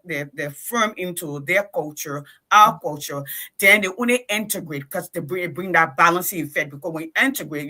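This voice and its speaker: A woman's voice: lowish voice, sharp tone. A woman with a Liberian accent, co-hosting a conversation with emphatic commentary, often checking her points with a short question. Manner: emphatic, punctuates points with "okay?".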